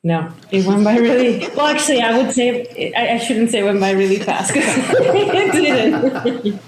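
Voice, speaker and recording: A woman's voice: a little high, lively intonation, a little slow, crowd noise. A woman from Mallorca, a guest on a podcast interview, telling her story reflectively with laughs. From Mallorca, Spain. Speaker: guest, home builder. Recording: echoey room.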